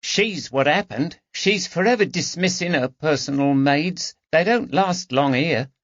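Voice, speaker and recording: A man's voice: a little high, unhurried, fairly bright tone, no echo, pitched above the performer's own voice. A man voicing a blunt, gossipy housemaid who talks in a dismissive way. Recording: dull, dry audiobook recording.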